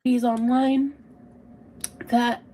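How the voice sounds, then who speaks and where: High, mellow-voiced woman in confessional monologue. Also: flat delivery, a little hiss or hum. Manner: halting, self-reflective.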